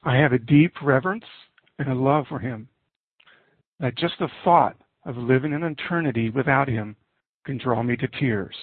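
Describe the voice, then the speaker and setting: A middle-aged man preaching a sermon and reading scripture in an earnest, deliberate way with pauses. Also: mid-range voice, breathy edge, dry recording. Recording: muffled narrowband, phone-like audio.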